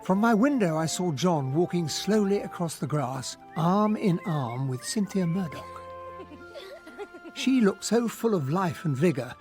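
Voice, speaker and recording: An actor playing a gentlemanly English army captain, narrating his memoir in a radio drama. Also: high-pitched, animated, a little slow, soft background music. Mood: wistful. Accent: English, well-spoken. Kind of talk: scripted first-person radio drama narration.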